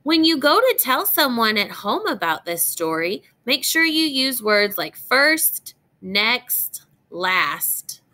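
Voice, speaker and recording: A woman reading a picture book aloud as a teacher would, phrasing it deliberately for young children and addressing them directly. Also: fairly high pitch, big pitch swings, sharp tone.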